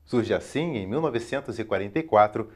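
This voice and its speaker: A man with a Brazilian accent reading scripted educational documentary narration in a formal, measured, carefully enunciated way. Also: on the low side, expressive.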